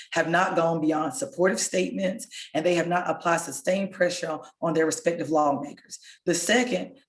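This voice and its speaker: A hoarse woman, a local elected official, giving an impassioned, rallying speech over a video call. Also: fairly low pitch. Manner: impassioned, rallying, direct appeals to listeners. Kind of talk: rousing speech. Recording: echoey room sound.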